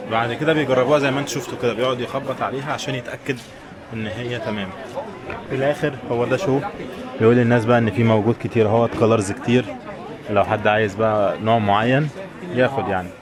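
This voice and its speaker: Very fast-talking Egyptian man, busy crowd behind. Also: fairly low pitch. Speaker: travel vlogger. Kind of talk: casual vlog chat to viewers. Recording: busy crowd noise around the voice.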